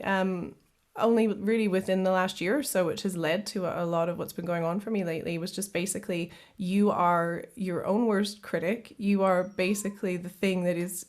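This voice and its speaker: A woman from Canada who has lived in the UK for years, a singer-songwriter guest chatting casually, often laughing and repeating words as she starts a thought. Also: on the low side. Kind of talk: casual interview chat.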